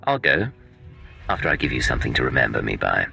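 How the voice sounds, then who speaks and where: A curt secret agent: low, forceful, guarded, menacing game hero with faint music underneath. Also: male voice, very animated, quick pace, gravelly, exaggerated voice. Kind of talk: video game dialogue acting.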